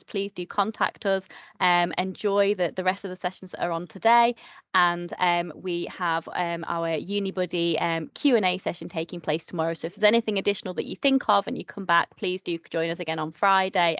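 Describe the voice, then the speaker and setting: A woman with a fairly low voice graciously wraps up an online session. The call audio is dull and lo-fi, and the sound is dry and close. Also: fairly bright tone, dry recording. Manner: gracious, thankful. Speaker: session host. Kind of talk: closing remarks on a webinar. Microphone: video call.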